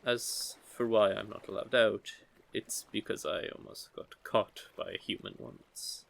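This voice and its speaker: A man voicing a curious, teasing young merman in an intimate audio roleplay, with a gently formal, measured way of talking. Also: fairly low pitch, fairly even intonation, creaky, exaggerated voice. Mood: earnest, flustered. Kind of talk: scripted audio roleplay, intimate one-sided conversation.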